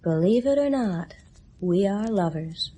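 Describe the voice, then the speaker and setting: A woman's voice: medium pitch, animated, measured pace, mellow, slightly rough. An actress playing a restless, bored young woman in a 1970s radio drama, speaking in a languid, sighing, bitterly weary way.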